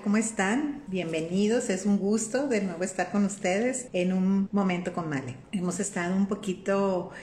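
A woman's voice: medium pitch, moderate pace, few pauses. Mexican woman hosting a roomy live stream. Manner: warm and conversational, greets viewers by name, often asks "¿verdad?". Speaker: host of a faith-based live stream. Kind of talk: casual live-stream talk on faith and life. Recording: roomy echo with faint room noise.

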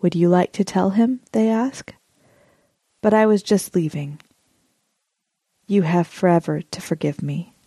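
A woman with a slightly low, soft and dark-toned voice reads a poem as a measured monologue. The sound is close and very dry, without room reflections. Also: slightly rough, dry recording. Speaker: poetry reader.

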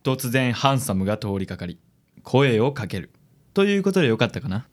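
A suave, vain charmer acted by a man in a radio play. His mid-pitched voice moves in lively swoops, smooth-talking and affected. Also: fairly quick. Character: suave, vain young ladies' man, undercover helper. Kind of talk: radio drama dialogue.

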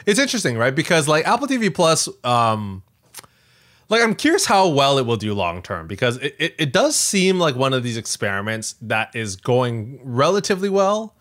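A man's voice: medium pitch, big pitch swings, moderate pace, fairly bright tone. A Canadian man co-hosting a podcast in casual chat, talking in a hesitant, rambling way full of filler phrases. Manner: hesitant, rambling, frequent "like" and "you know". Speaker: podcast co-host. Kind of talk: casual tech news chat.

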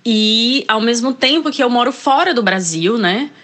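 A woman's voice: a little high, animated, moderate pace, a bit bright. A woman from Ceará with a Northeastern Brazilian accent, a guest chatting casually in an interview, hesitating often and restarting her words. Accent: Northeastern Brazilian Portuguese. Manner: hesitant, many fillers and restarts. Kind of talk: casual interview, reading passages aloud.

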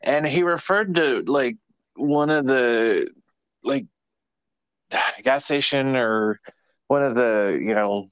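A man's voice: medium pitch, slow, pauses often, fairly bright tone, clean tone, dry recording. Blunt, outspoken man. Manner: blunt, outspoken, swears freely. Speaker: podcast guest. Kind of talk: casual chat and storytelling.

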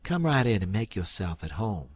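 A man voicing a fussy, quick-tempered elderly farm wife from the South, shrill and scolding. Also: sing-song, darkish tone, breathy edge. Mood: coaxing.

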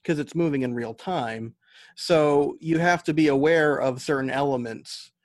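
A man's voice: fairly high pitch, a little slow, no echo, clean studio sound. An American man speaking as an interview guest, explaining his craft conversationally and thinking his points through aloud. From United States. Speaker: podcast guest, novelist and audio drama writer.

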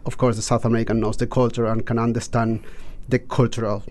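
A man's voice: mid-range voice, little pitch movement, fast, a little mellow. Man, chatting. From Chile. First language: Spanish. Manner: rambling, with many restarts and repeated words. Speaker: guest. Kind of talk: casual interview chat.

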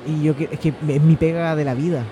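A man's voice: a little high, steady tone, a little fast, a crowd in the background. A man, chatting eagerly and jokingly as a podcast guest. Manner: eager, jokey storyteller. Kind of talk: casual podcast chat.

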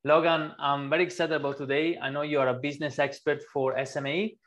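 A man hosting an interview show, speaking casually and enthusiastically with some halting phrasing. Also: on the high side, level tone, a bit bright. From overseas, outside Australia. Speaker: podcast host.

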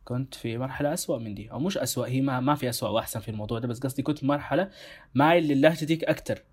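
A young man with a Sudanese Arabic accent talks quickly in a casual discussion. His pitch sits in a middle range, and he tells his points in an animated, emphatic way. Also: husky. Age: young adult. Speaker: co-host.

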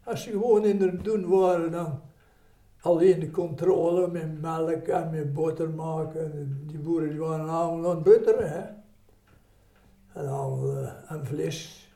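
Elderly Brabant man, very mellow voice. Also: fairly high pitch, unhurried, roomy sound. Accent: Brabant Dutch. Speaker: eyewitness recalling wartime childhood. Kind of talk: informal reminiscence.

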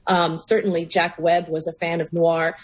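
A woman's voice: fairly low pitch, little pitch movement, normal speed, breathy edge. A woman co-hosting on radio, chatting informally in a conversational pledge-drive style. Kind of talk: informal on-air fundraising talk.

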